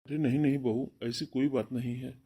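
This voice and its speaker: Man as ageing father, gentle, yielding, mellow raspy tone, cartoon melodrama. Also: mid-range voice, fairly even intonation, brisk. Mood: resigned. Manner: soothing, yielding. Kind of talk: Hindi cartoon family melodrama.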